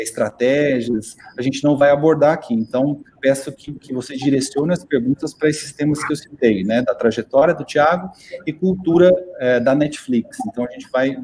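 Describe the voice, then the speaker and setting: A man's voice: medium pitch, dark tone, slight background noise. A man hosting a virtual interview for students, speaking with hesitant repeats and many fillers. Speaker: interview host. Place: virtual studio.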